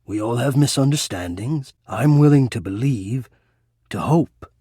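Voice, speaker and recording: Shy romantic suitor, breathy, formal and halting, intensely earnest, dry close sound, video game character. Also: male voice, medium pitch, lively intonation, warm tone, dry recording. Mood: formal, reserved. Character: shy, lovestruck, courtly living ventilation system, adult male. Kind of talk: dating game character voice acting.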